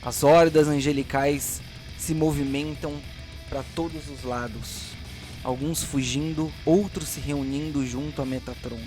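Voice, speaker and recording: A man's voice: a little high, steady tone, unhurried, music in the background. A man narrating and hosting an audio role-playing drama as game master.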